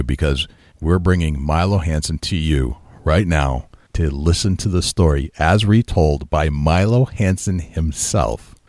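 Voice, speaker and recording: He is an eager podcast host who keeps the chat going, with a very deep voice and a dry, close sound. He nods along and agrees often. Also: darkish tone, no room sound. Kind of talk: casual podcast interview.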